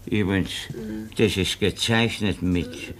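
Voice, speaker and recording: Slow, lowish-voiced police subordinate. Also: male voice, steady tone, fairly bright tone. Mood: hesitant, dutiful. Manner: hesitant, plodding. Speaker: actor in a radio play. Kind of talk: 1950s German radio drama acting.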